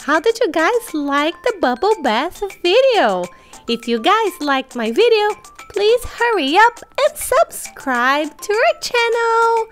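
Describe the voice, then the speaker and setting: A woman voicing a bratty, excitable superhero baby boy in repetitive baby talk for children's toy role-play. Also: very high voice, takes it slowly, soft background music, pitched above the performer's own voice, exaggerated voice. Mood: delighted. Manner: whiny, taunting baby talk with repeated phrases.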